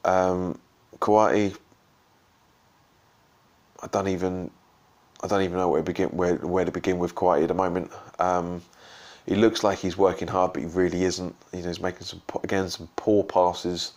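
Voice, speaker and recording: A man with a slightly croaky voice giving a rambling, casual post-match reaction as a football fan vlogger. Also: deep voice, flat delivery, moderate pace, darkish tone. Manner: rambling, full of ums and restarts. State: slightly croaky from shouting and drinks.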